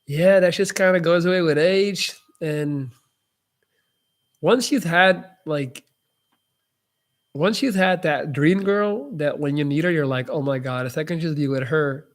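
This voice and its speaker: Young man, dutch native speaker, live q&a host, casual rambling, restarts, slightly raised pitch. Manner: reads chat messages aloud and answers loosely, with frequent restarts. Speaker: livestream host answering viewer questions.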